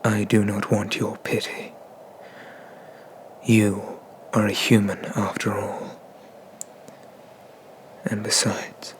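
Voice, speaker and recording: Man acting as vengeful dragon god, ASMR roleplay, very slow delivery, fairly flat intonation, disdainful, menacing, whisper-prone. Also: fairly low pitch, noisy background. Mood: cold. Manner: disdainful, menacing, deliberate, often slipping into whispers. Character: haughty, vengeful dragon god exiled to a temple.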